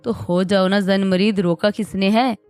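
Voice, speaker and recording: A woman's voice: mid-range voice, steady tone, unhurried, a little mellow, clear, resonant tone, soft background music, natural voice. Woman reading as a fiery young wife. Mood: huffy. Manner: indignant, sulky. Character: fiery, touchy young wife, quick to anger.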